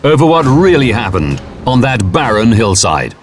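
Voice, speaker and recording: A man's voice: medium pitch, big pitch swings, moderate pace, slight background noise. A man with a British accent delivering dramatic scripted documentary narration. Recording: voice over very loud dramatic music. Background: loud dramatic score.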